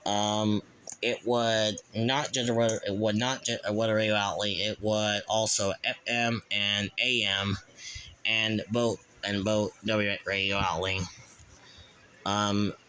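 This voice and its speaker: A man hosting his own channel, showing his collection in a halting, rambling way, full of restarts and fillers. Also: lowish voice, little pitch movement, pushed and bright, clear, resonant tone. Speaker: channel host. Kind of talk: casual collection show-and-tell.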